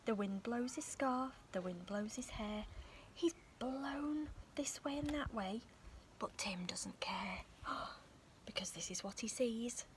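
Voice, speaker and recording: Woman reading a children's story aloud, higher voice, soft and breathy, outdoors, faint background sound. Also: expressive, slow pace, a little hiss or hum. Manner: soft, breathy storytelling with gasps and sudden shouts. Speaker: storybook reader. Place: outdoors in a park.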